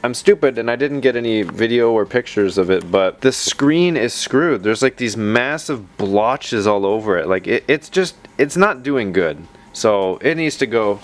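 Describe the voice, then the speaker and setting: A man's voice: fairly low pitch, expressive, unhurried, few pauses. A man hosting a tech video in a casual, chatty way, with rambling, self-deprecating asides. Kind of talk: casual vlog narration.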